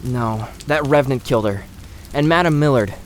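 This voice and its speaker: A man's voice: mid-range voice, moderate pace, slight background noise, lightly acted. A man playing a blunt, sincere and devoted fantasy hero in an audio drama. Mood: blunt, somber. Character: blunt, devoted, self-blaming fantasy hero in human form. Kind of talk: audio drama character acting.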